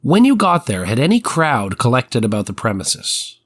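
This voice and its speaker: A man's voice: mid-range voice, wildly swooping pitch, normal speed, fairly bright tone. A man playing an 1890s prosecuting attorney, putting precise, formal, methodical questions to a witness in a dramatized trial reading. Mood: probing, formal. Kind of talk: courtroom transcript dramatized reading.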